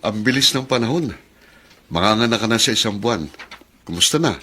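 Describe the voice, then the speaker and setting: A man's voice: lowish voice, animated, brisk, close to an everyday voice. A man with a Filipino accent acting as a man in a family radio drama. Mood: friendly interest. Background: soft music.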